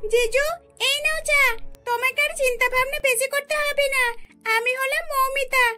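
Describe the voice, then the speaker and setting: A woman's voice: extremely high pitch, fairly even intonation, moderate pace, sharp tone, faint music behind, voice pushed up. A woman voicing a scheming young twin sister in a cartoon, playful and wheedling in manner. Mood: playful, teasing.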